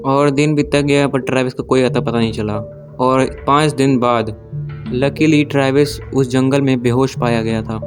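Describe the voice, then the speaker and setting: A man telling stories in an informal narration, with halting phrasing as he corrects himself. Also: mid-range voice, steady tone. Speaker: YouTube narrator. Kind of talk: informal storytelling narration of alien abduction cases. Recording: music behind the voice. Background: background music.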